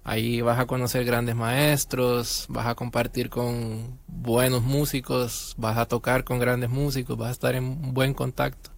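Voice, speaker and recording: A man's voice: mid-range voice, measured pace, vocal fry, few pauses, no echo. A young man from Rica, a music student politely telling his story in an interview. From Costa Rica. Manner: polite, earnest, steady telling. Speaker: music student, double bassist. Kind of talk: casual interview answer.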